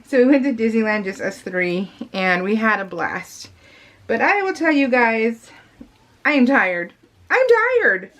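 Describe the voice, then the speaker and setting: Middle-aged woman, American accent, fairly high pitch, casual vlog voice-over, rambling, self-interrupting, giggly. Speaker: vlogger.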